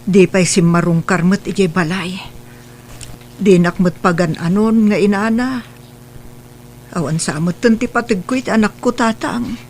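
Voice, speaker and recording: A woman's voice: mid-range voice, a little slow, long pauses, darkish tone, slight background noise, lightly acted. A woman playing a middle-aged, remorseful mother in an audio drama, speaking plaintively and earnestly with an Ilocano accent. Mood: troubled. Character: remorseful mother pleading for her estranged son's forgiveness. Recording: music bed behind the voice.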